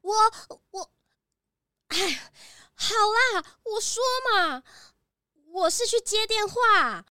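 A woman's voice: very high-pitched, animated, slow, pauses often, pushed and bright, dry recording, clean studio sound, exaggerated voice. A woman playing a sheepish, flustered female cook with a whiny, protesting manner in a children's detective radio drama. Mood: embarrassed, confessing.

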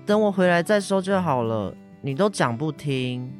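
A man's voice: fairly high pitch, lively intonation, normal speed, soft background music, close to an everyday voice. A teenage boy with a Taiwanese Mandarin accent acting a caring schoolboy son in a radio drama. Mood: worried, scolding. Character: Ah-Zhong, caring schoolboy son, nagging his injured mother. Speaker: student voice actor.

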